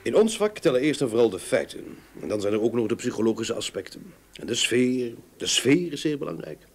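An actor playing a methodical private detective in a Dutch radio play, inquisitive and businesslike. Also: male voice, mid-range voice, sing-song, fairly quick. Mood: measured, businesslike. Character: probing, methodical male private detective, matter-of-fact. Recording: old radio play recording, background noise.

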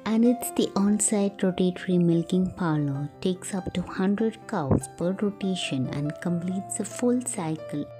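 A woman's voice: fairly low pitch, measured pace, hardly any pauses, music in the background. A woman vlogger narrating a farm trip in a plain voice-over, explaining each stop simply.

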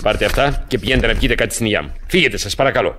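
An actor playing an anxious, curt gentleman who feels trapped, in a radio play. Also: lowish voice, background noise, close to an everyday voice. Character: trapped, nervous gentleman in a villa. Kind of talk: radio theatre acting.

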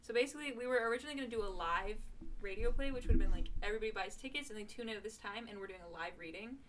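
A woman's voice: on the high side, rapid-fire, noisy background. A young adult woman co-hosting a podcast in casual, chatty banter, giggly and self-deprecating. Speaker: podcast co-host.

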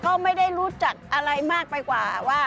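An older woman speaks hesitantly in an outdoor interview, pausing often with fillers. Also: sits high, animated, pushed and bright, faint music behind. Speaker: local interviewee. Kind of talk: casual interview talk. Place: outdoors at an ancient stone temple. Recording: outdoor with faint ambience.

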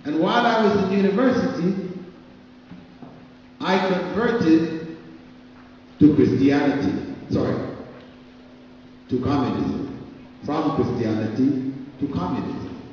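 A middle-aged man giving a public lecture at a podium microphone in a large hall, speaking slowly with long pauses between phrases. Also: fairly high pitch, darkish tone, a little hiss or hum. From Canada. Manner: slow, deliberate, pausing between phrases. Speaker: Islamic lecturer. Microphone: podium microphone on stand. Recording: echoey hall, distant, dull audio.

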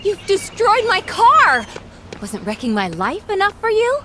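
A woman's voice: on the high side, sing-song, moderate pace, a bit bright, a little hiss or hum. A woman voicing an indignant, exasperated young woman in a video game, with a scornful manner. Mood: angry, exasperated. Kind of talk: animated video game character acting.

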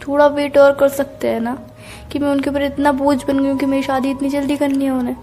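Young woman on an emotional phone call, plaintive, soft mellow tone, pretty flat delivery, music behind. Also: sits high, quick pace. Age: young adult. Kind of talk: emotional private phone conversation.

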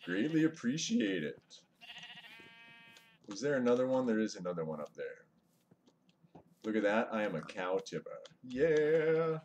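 Male live streamer, deep voice, chatty, greets chat mid-game; webcam mic, faint hiss. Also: very animated, measured pace, pauses often, darkish tone, dull audio. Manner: chatty, greets viewers, mutters over gameplay. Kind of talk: casual live-stream chat while gaming. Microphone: webcam microphone, away from mouth.

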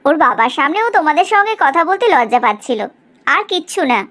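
A woman voicing a proud, sharp-tongued middle-aged village mother in a cartoon story, in an exaggerated, gossipy way. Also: high voice, very animated, fast. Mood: pleading, insistent. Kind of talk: Bengali cartoon story dialogue.

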